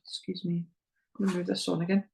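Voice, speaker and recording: A middle-aged woman with a Northern Irish accent, giving an earnest slide presentation to a parents' group, often pausing with ums and repeating words. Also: fairly low pitch, flat delivery, vocal fry, a little mellow, a little hiss or hum, low-quality recording. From Northern Ireland.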